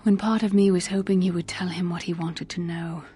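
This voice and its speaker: An actress playing an earnest, solemn young Jedi warrior in a video game, with formal, grave delivery. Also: female voice, on the low side, steady tone, fairly quick, dark tone, soft background music, close to an everyday voice. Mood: grave, sorrowful. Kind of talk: dramatic video game acting.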